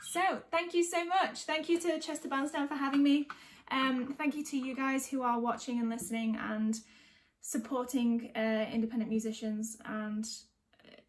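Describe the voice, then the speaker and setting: A woman, a singer-songwriter chatting informally as she thanks online viewers and signs off. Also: on the high side. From North West of England. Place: at home.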